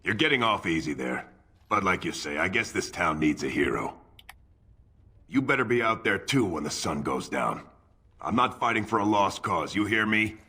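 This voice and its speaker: An actor playing a blunt, scornful dwarf mercenary boss with a gruff, rough voice in a video game. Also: male voice, deep voice, very animated, moderate pace, sharp tone, exaggerated voice. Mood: grudging. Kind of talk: video game character acting.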